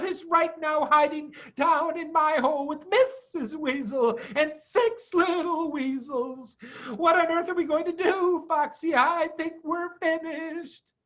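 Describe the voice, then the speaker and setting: Frantic male badger, very high voice, dramatic and fretful, storybook style, lo-fi sound. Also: fairly bright tone. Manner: exclamatory, breathlessly worried. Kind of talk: storybook character voice.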